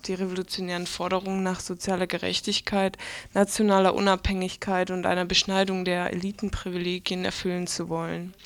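A woman's voice: mid-range voice, clear, resonant tone. A woman presenting radio news, reading from a script.

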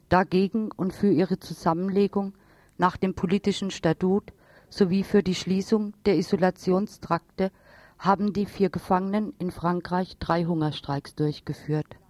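A woman with a fairly low voice reads a scripted radio report. Her delivery is serious and formal, and the sound is close and dry. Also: a little mellow, no room sound. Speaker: radio news presenter. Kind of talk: scripted news report read aloud.

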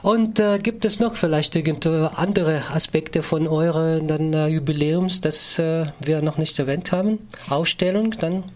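Guy hosting morning radio, voice a bit on the high side, kinda halting, searches for words. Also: a little mellow. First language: not German. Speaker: radio host interviewer. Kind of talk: live morning radio interview. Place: radio studio.

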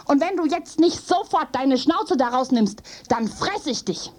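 A woman's voice: high voice, lively intonation, a little slow, a little mellow, pitched above the performer's own voice. A woman voicing a tiny, angry, bossy male silverfish in a fairy tale, indignant and cheeky. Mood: cheeky threatening.

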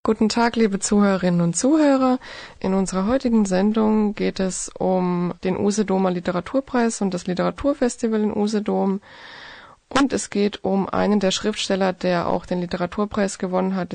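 A woman's voice: mid-range voice, moderate pace, gravelly, mellow, no room sound. A woman co-hosting a radio programme, reading from a prepared script. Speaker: radio co-host.

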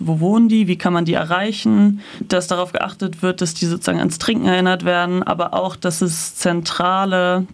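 A female activist giving explanatory answers in a studio interview. Her intonation stays quite flat and her phrasing is loose and informal. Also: lowish voice, creaky. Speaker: climate and health activist being interviewed. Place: radio studio.

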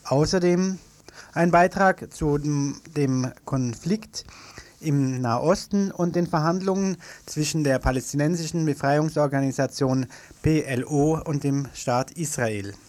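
A man's voice: on the high side, a little mellow. Man reading local radio news. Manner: measured reading with occasional slips and self-corrections. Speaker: news presenter. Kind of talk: read community radio news bulletin. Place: radio studio.

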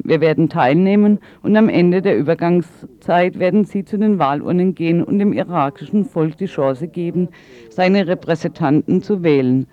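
A man working as an interpreter, delivering a spoken translation of interview answers. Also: on the high side. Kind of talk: consecutive voice-over interpreting. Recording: slightly dull radio sound.

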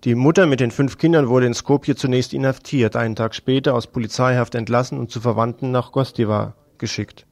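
Creaky-voiced man reading a news report. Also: medium pitch, fairly even intonation, fairly quick, hardly any pauses, darkish tone, no room sound. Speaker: radio news presenter.

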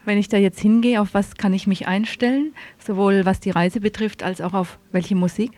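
A woman's voice: medium pitch, fairly even intonation, moderate pace, a little mellow. A woman hosting on radio, presenting and putting questions to a studio guest. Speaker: radio host, interviewer.

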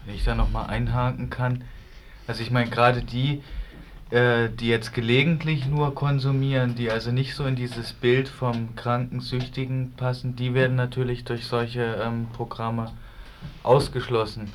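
A man's voice: mid-range voice, level tone, normal speed. A man taking part in a panel discussion, raising a point in spontaneous speech. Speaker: panel participant. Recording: faint background noise.

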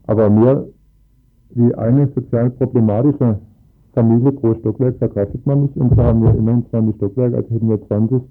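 A man's voice: medium pitch, fairly even intonation, fairly quick. A man speaking earnestly and emphatically as a resident in a political radio interview. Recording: tinny phone-like band, music behind.

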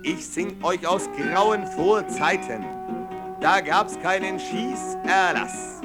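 A man's voice: high voice, slow pace. A man singing a narrative folk protest ballad as a singer-songwriter. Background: acoustic guitar.

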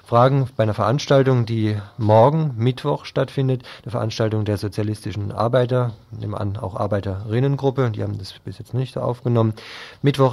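Male local radio presenter, fairly low voice, reading event notices. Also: gravelly, a little mellow.

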